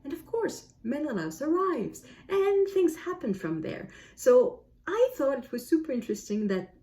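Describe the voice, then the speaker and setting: Higher-voiced, mellow woman with a French accent. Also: very animated, moderate pace. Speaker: book reviewer. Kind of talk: casual book review to viewers.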